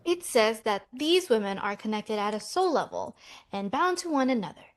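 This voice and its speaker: An actress as an anxious millennial twin with a fairly high voice, reading a romcom script. She is practical and insistent, earnest in a dramatic way and easily exasperated. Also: big pitch swings, moderate pace. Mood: reading aloud. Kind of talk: table read of romcom script.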